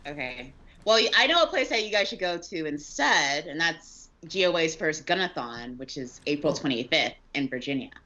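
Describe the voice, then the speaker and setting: Woman podcast guest, pretty low voice but bright and forceful, casual talk. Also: animated, normal speed, muffled recording. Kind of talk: casual chat.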